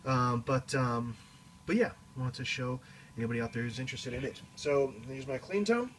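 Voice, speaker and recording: A man giving a casual, explanatory demonstration of a guitar effects pedal in a halting way, as a teacher would. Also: medium pitch. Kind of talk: casual product demo.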